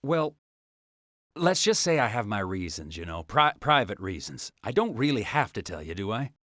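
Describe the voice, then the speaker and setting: A man's voice: fairly low pitch, big pitch swings, normal speed, a bit bright, no echo, studio quality. A man playing an evasive, defensive suspect in a video game, hedging and stammering. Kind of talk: video game cutscene acting.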